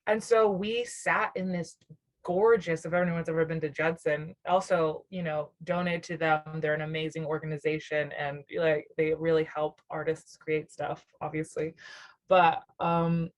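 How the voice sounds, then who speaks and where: A woman's voice: low voice, fairly even intonation, a little slow. A young adult woman from near Nashville, a musician guest on an interview show, talking in a rambling, reflective way with many fillers and frequent laughs. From Southern US, near Nashville, Tennessee. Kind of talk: casual interview chat.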